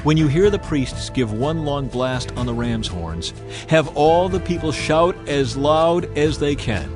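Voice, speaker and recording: A man's voice: a little high, measured pace, pitched above the performer's own voice, lightly acted. Male narrator as a commanding deity for scripture drama: solemn, emphatic, authoritative, music beneath. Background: background music.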